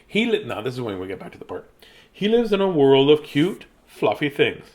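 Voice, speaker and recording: A man in his fifties reads children's bedtime picture books in an animated, playful, childlike way, with chatty asides. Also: a little high, a little fast. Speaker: children's storyteller. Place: small decorated room indoors.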